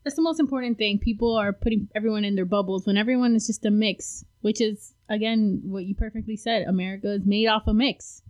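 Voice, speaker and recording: A woman hosting a casual interview, playful and quick to laugh, saying "like" often. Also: mid-range voice, fairly even intonation, darkish tone.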